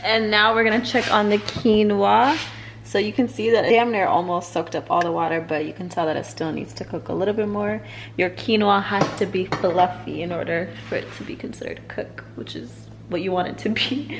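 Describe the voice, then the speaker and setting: A woman's voice: medium pitch, background noise. A young woman filming a casual cooking tutorial in her home kitchen, talking slangy and chatty to her viewers and calling them y'all. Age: young adult. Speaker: cooking vlogger. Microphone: camera on the counter and handheld.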